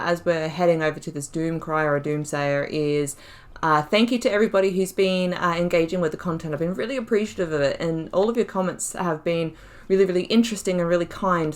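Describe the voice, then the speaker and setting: Chatty woman with a lowish voice. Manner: chatty, giggly, thinking aloud. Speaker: gaming YouTuber, host of a playthrough. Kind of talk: casual gameplay commentary.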